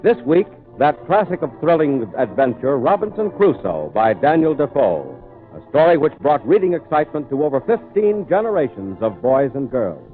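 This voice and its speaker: A man's voice: medium pitch, big pitch swings, normal speed. Grand male radio announcer over organ. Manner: grand, theatrical. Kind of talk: 1940s radio announcing, scripted narration. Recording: tinny old radio recording. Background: organ music.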